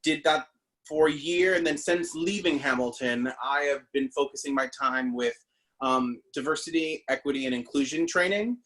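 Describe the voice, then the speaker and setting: A man's voice: on the high side, moderate pace, fairly bright tone. A man from the Bahamas, a stage actor, telling his story in a casual interview over a video call, chatty and full of fillers. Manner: chatty, with many fillers and restarts.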